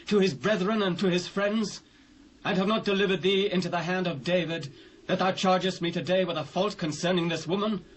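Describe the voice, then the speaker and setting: A British man playing a proud, forceful biblical army commander, declaiming archaic lines with emphasis in a dramatised scripture reading. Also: sits high, poor audio. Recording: hissy old cassette transfer.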